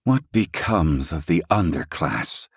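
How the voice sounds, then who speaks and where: A man acting a proud, gruff, middle-aged inventor in a fantasy video game, with formal, haughty, theatrical diction. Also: extremely low pitch, sing-song, slow pace, no room sound, studio quality, exaggerated voice. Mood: reflective, earnest.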